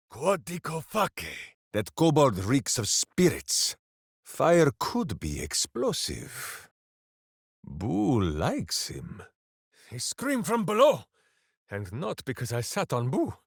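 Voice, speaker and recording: A huge, righteous, simple-hearted warrior from a fantasy video game. His delivery is slow and bombastic, with enormous swings in pitch. He declaims quaint phrases boisterously, in a very dry, close recording. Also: husky, dry recording, full character voice. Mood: grave, musing. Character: big brawny good-hearted warrior, boisterous, simple, righteous, devoted to hamster. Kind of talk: video game character voice lines.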